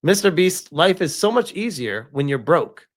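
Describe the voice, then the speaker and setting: A man's voice: fairly high pitch, very animated. Incredulous man doing casual gaming commentary. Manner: incredulous, informal, halting emphatic delivery with rhetorical questions. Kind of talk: casual livestream commentary reacting to gaming news.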